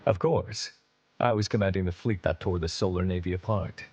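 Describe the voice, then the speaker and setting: An actor playing a stern, honorable veteran alien admiral in a video game, speaking in a formal, measured, authoritative way. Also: male voice, deep voice, a little mellow. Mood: proud, respectful. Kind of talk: video game cutscene acting.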